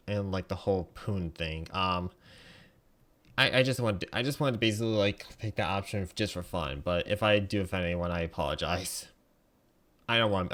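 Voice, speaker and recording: A man's voice: low voice, a bit bright. A man streaming a game, rambling and full of fillers as he comments. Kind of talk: gaming commentary.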